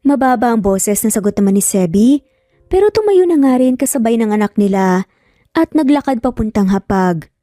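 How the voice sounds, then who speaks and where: A woman's voice: on the high side, lively intonation, moderate pace, mellow, dry recording, clean studio sound. A woman with a Filipino accent narrating a scripted romance story as a storyteller.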